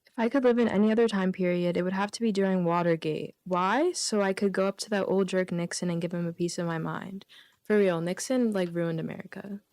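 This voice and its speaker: A woman's voice: lowish voice, fairly even intonation, brisk, darkish tone, no room sound. A woman guest on a radio comedy show, reading jokes aloud and bantering casually. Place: radio studio.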